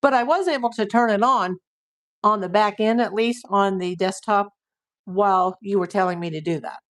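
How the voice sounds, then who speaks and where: A woman's voice: medium pitch, moderate pace. A middle-aged woman hosting a casual livestream, chatting and reading viewer comments while losing her voice. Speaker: livestream host.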